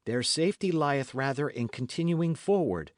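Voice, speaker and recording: A man voicing a rough, commanding young squire who speaks forcefully in old-fashioned words. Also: on the high side, expressive, normal speed, no room sound, voice pushed up, lightly acted. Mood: urgent whisper.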